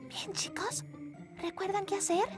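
A woman voicing a kind, friendly little girl in a cartoon, speaking in an earnest, expressive way. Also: very high-pitched, a little slow, loud music behind the voice. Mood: eager. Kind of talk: animated cartoon dub acting.

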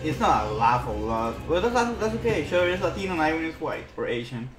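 A man's voice: a little high, big pitch swings, brisk. A young man chatting off the cuff on an art livestream from a roomy little studio, faint music playing. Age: young adult. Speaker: artist co-hosting a live stream. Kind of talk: casual chat. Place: small room, indoors. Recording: roomy echo.

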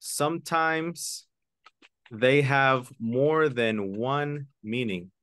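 A man teaching an online English lesson, switching between English and Spanish and repeating key words slowly for his students. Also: medium pitch, a bit bright, no echo, clean studio sound. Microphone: video call webcam.

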